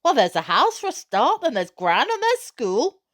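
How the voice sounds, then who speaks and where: A woman's voice: high voice, wildly swooping pitch, normal speed, voice pushed up. A woman reader plays a stubborn, emotional mother from a storybook. Her voice sits raised and pushes words out emphatically. The speech is colloquial and heartfelt. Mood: defiant. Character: distraught, stubborn, angry working mother of a boy.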